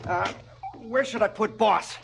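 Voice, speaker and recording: A man's voice: high-pitched, measured pace, vocal fry, background noise, exaggerated voice. An actor playing a dim, obedient henchman in a radio drama, hesitant and deferential. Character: dim, obedient henchman who hauls the bodies. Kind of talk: 1970s radio drama acting.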